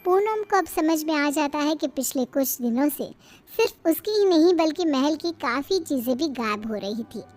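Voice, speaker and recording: Very high-pitched woman storyteller. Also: expressive, normal speed, darkish tone, soft background music. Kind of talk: scripted moral-story narration.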